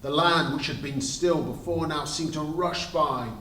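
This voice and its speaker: A man giving an expressive, emphatic dramatic reading aloud, in the style of a teacher telling a story. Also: on the high side, measured pace. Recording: roomy, distant mic, faint noise.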